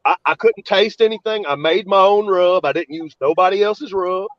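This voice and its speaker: A man with a slightly high voice hosts a laid-back chat, sounding stuffed up and sniffly. He rambles easily through long stories. The sound is dull and lo-fi, close and dry. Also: moderate pace, no room sound. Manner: rambling, relaxed storytelling. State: congested and sniffly, recovering from illness. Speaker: host. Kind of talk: casual podcast chat.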